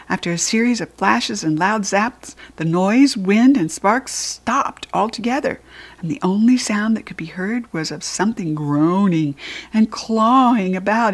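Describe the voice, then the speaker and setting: A woman with an American accent reading a children's book aloud as animated storytelling. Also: mid-range voice, unhurried.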